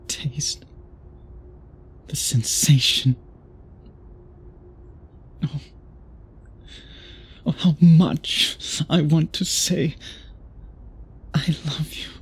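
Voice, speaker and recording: A man acts out a tormented, obsessive male vampire suitor in an intimate ASMR drama. The voice is extremely breathy and husky, at a medium pitch. He speaks slowly and formally, with theatrical pauses that give him a brooding, possessive air. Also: a little mellow, exaggerated voice. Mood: anguished, possessive. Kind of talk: intimate ASMR roleplay acting.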